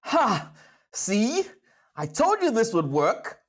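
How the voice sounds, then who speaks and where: A woman voicing a cocky, boastful young boy adventurer in an animated series, with a brash manner. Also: fairly high pitch, slow pace, exaggerated voice. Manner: brash, bragging. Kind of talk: animated series table read.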